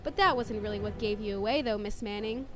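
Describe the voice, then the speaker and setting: A woman playing a cool, deliberate police inspector in a radio murder mystery. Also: medium pitch, natural voice. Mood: assured. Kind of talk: radio drama acting.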